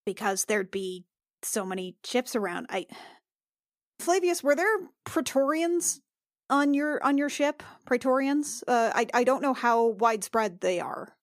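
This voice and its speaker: A woman playing a tabletop game, talking in halting, restarting phrases, half in character and half as herself. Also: fairly high pitch, lively intonation, no echo, clean studio sound. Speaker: player.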